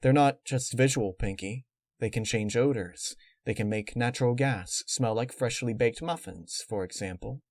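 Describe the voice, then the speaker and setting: A man voicing a cold, calculating female unicorn villain who explains menace in a measured, matter-of-fact way. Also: mid-range voice, a little mellow, no room sound, studio quality, natural voice. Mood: calm menace.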